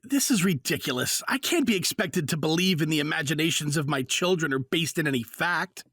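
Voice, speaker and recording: Husky, indignant father on trial. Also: deep voice, animated, brisk, a bit bright, dry recording, studio quality, heavily acted. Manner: protesting, dismissive. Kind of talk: audio drama acting.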